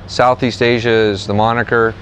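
A man's voice: medium pitch, flat delivery, fairly bright tone. Man in a noisy outdoor gym. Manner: halting, many restarts and fillers. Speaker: gym staff member. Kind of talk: on-camera interview. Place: open-air training gym, outdoors under a roof. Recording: outdoor noise behind the voice.

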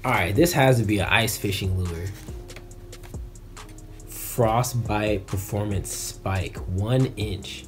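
Low-voiced young American man chatting casually. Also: slow pace, soft background music. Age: young adult. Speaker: fishing vlogger. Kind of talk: casual unboxing chat. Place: small bedroom, indoors.

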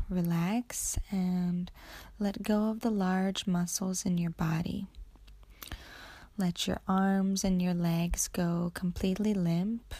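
Woman, guided meditation, very unhurried pace, slightly low pitch, breathy phrase endings, soothing delivery. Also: mellow, no room sound. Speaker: yoga nidra meditation guide.

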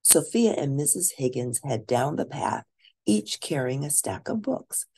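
A woman reading a children's storybook aloud expressively, with drawn-out emphasis for young listeners. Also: deep voice, animated, mellow, husky. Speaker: storybook reader.

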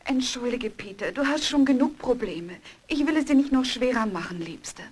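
Breathy, gentle young wife. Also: female voice, fairly high pitch, expressive, normal speed. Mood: tender, consoling. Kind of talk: German horror radio play acting.

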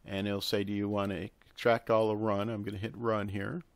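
A man narrating a casual software tutorial, explaining step by step in an instructional way with hesitant pauses. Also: lowish voice, level tone, dry recording. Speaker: tutorial host. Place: close, dry indoor voice-over for a screen recording.

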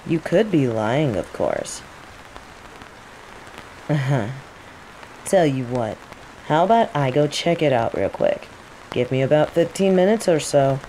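Woman playing a low, mellow, teasing sorceress in rain. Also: unhurried, pauses often, rough recording. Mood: quietly threatening. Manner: teasing, casual, coaxing. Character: playful, teasing female rival mage interrogating a captive, quietly menacing. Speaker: voice actor in scripted audio roleplay. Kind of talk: intimate scripted audio roleplay acting. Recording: steady rain noise behind the voice.

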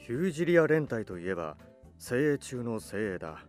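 An actor voicing a learned, knowing owl who explains facts in a radio drama. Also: male voice, mid-range voice, big pitch swings, moderate pace, darkish tone, faint music behind, lightly acted. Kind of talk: Japanese radio drama acting.

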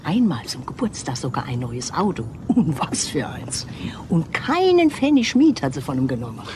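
Low-voiced gossipy housekeeper. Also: female voice, big pitch swings, moderate pace. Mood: indignant gossip. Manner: confiding, indignant, dropping into whispers. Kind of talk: German crime radio play acting. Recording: noisy radio play recording.

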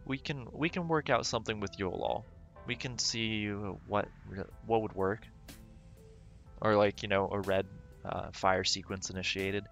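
A man streaming a game, rambling casually and thinking aloud with lots of fillers, his voice going raspy. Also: fairly low pitch, unhurried, fairly bright tone, faint music behind. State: voice getting raspy. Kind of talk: casual gameplay livestream commentary.

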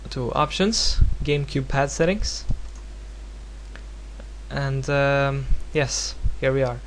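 A man from Norway speaking English as a second language with a Norwegian accent, giving a casual, unscripted software tutorial in a hesitant, rambling, self-deprecating way. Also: medium pitch. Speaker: tutorial presenter. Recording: home recording with clear background noise and hum.

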